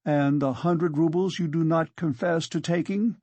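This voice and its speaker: A narrator voicing a pompous, self-righteous gentleman accuser with formal, reproachful speech in an audiobook reading. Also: medium pitch, expressive, unhurried, breathy edge, dry recording, pitched above the performer's own voice. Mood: reproachful, insistent.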